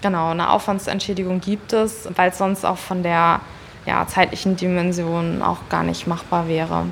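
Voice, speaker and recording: A woman's voice: lowish voice, level tone, moderate pace, gravelly. Woman interviewee, light background noise. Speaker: interviewee, volunteer citizen member of an advisory body. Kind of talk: spontaneous explanatory interview answers.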